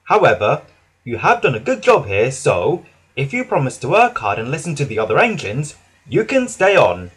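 A man's voice: medium pitch, huge pitch swings, normal speed, a bit bright, breathy edge, full character voice. Storybook: firm, scolding railway boss, read by a man. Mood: stern. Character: stern, portly male railway boss.

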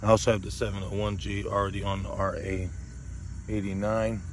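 A man's voice: lowish voice, little pitch movement, fairly quick, a little hiss or hum. A man hosting an outdoor radio range test, repeating test calls and calling to his dog in an open field, recorded on a body-worn camera. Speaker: radio hobbyist host.

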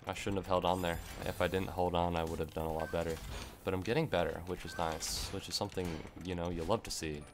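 A young man streaming a game from home, his voice hoarse and gravelly from screaming, rambling and swearing as he plays. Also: low voice, steady tone, fast, few pauses, background noise. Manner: rambling, swears when frustrated. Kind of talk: live gameplay stream chat. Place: home room.

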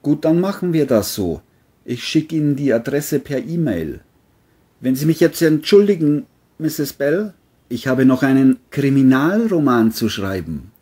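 A mellow male crime writer acted by a man in a radio play. His husky voice is formal and deliberate. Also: mid-range voice, sing-song. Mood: casual, obliging. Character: boastful male bestselling crime novelist. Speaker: writer and narrator of his novel.

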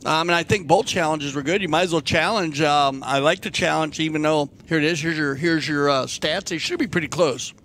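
Man, polo commentator, gravelly voice, slightly high, chatty, halting, faint background noise. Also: a bit bright. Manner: chatty, halting, stacks restarts. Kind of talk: live sports commentary. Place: broadcast studio.

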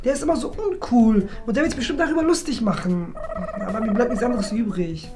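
High-pitched young man, exaggerated and playful. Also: quick pace, noisy background. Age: 20s. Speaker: skit performer in a shared-flat video series. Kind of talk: playful improvised comedy skit. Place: small indoor rooms of a flat.

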